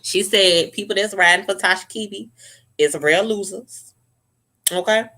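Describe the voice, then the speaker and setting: A young woman vlogger giving sassy, profane, animated reaction commentary to camera. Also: medium pitch, measured pace.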